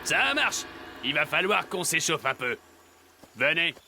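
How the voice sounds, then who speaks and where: A man voicing a crafty animal character in a children's cartoon, expressive and deliberate, over music. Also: a little high, slight background noise, exaggerated voice. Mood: scheming. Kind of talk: animated series dubbing. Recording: music behind.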